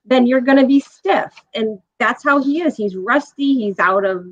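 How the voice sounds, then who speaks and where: A woman's voice: a little high, moderate pace, no echo. A woman who teaches college, explaining her points patiently in a casual podcast discussion. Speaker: guest, college professor. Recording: dry, dull lo-fi audio.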